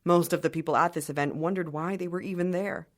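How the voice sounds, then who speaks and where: An actress playing a wry insider who narrates an audio drama in measured scripted narration. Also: female voice, fairly low pitch, fairly even intonation, close to an everyday voice. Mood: wry, knowing.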